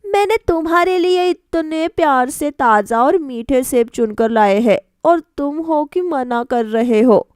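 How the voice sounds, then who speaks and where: A woman's voice: high-pitched, lively intonation, a little slow, few pauses, a little mellow, no echo, clean studio sound. A woman voicing an earnest young daughter-in-law in a storybook, sweetly insistent and coaxing as she questions. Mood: pleading.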